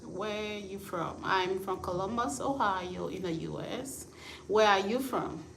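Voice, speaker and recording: Slow-talking woman in an echoey room. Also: mid-range voice, creaky, a bit bright, slight background noise. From Liberia, West Africa. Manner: chatty, reads out and answers viewer comments. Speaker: livestream host. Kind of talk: casual livestream chat with viewers. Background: storm and rain outside.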